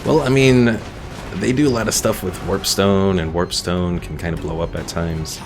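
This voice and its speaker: Low, gravelly man streaming a game. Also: steady tone, normal speed. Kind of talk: casual gameplay commentary, reading chat. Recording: game music behind the voice. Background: video game music.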